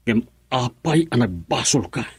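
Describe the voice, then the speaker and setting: A man's voice: medium pitch, expressive, a little slow, husky, dry recording, full character voice. An actor with a Filipino accent playing a wounded, betrayed middle-aged husband and father in a radio drama, volatile and reproachful. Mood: bitter reproach. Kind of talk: Ilocano radio drama acting.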